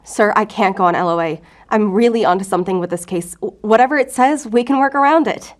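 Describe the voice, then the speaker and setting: A woman's voice: mid-range voice, fast talker, natural voice. An actress playing a defensive, earnest female federal agent in an audio drama, guarded in manner. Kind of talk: audio fiction drama acting.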